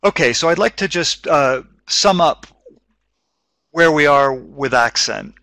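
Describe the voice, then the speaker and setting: A middle-aged man presents a webinar on teaching English, explaining in a measured way through a headset mic. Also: a little high, expressive, creaky, bright, forceful tone, no echo. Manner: measured, explaining with frequent restarts. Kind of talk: educational webinar talk.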